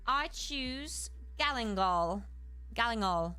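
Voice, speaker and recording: A woman with a very high, lively voice and a British accent hosts a livestreamed lesson. Her delivery swings widely in pitch as she explains things politely. Faint music plays behind her. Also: slow pace, clean tone. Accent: British, put on. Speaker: live streamer and host giving a lesson. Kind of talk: livestreamed educational lesson with chat replies.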